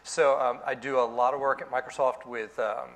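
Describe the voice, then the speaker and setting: A man introduces himself at a tech conference in a casual, joking way and promises puns. His voice sits at a middle pitch and sounds relaxed. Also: moderate pace. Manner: jokey, casual, makes puns. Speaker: conference speaker.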